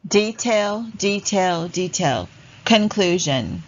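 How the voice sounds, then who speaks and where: A woman narrating a read-along lesson for children in a deliberate, instructional way. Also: lowish voice, very animated, muffled recording.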